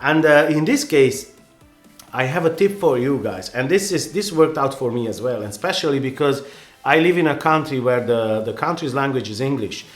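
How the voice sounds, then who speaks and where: A man's voice: mid-range voice, expressive, normal speed, reverberant, faint music behind. A man with a Hungarian accent, a native Hungarian speaker, giving earnest, rambling photography advice with many restarts and "you know"s in a casual vlog.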